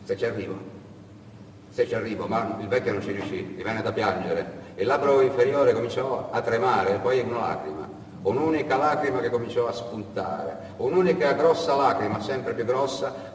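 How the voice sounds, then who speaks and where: A male storyteller with a mid-pitched voice delivers a theatrical monologue, pausing and repeating phrases. He sounds distant in a reverberant hall. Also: lively intonation. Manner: theatrical, repetitive phrasing with pauses. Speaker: storyteller and stage actor. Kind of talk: dramatic narrated monologue. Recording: echoey hall, distant mic, background noise.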